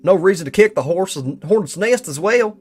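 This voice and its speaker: A man's voice: high-pitched, animated, moderate pace, husky, soft background music, pitched above the performer's own voice. A man voicing a folksy cowboy employee with a Southern US accent and a theatrical drawl, reading out an investigation report. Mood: folksy, watchful. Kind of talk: character reading of a written report.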